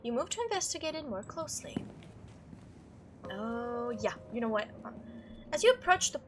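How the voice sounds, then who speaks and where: High-pitched animated woman playing games. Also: moderate pace, a little hiss or hum. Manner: chatty, playful, reactive. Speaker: video game streamer doing a playthrough. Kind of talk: let's play, reading aloud and reacting.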